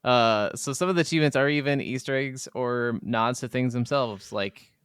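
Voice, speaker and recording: A man with a smooth, clear voice talks casually as a podcast co-host. He sounds informal and conversational, recorded close and dry with no room sound. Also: medium pitch, moderate pace, a bit bright, dry recording. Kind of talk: casual chat about video games.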